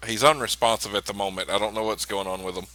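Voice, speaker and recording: Very sharp, bright, low-voiced man. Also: fairly even intonation, fast talker, low-quality recording. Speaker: tabletop game player. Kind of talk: casual in-character game chat.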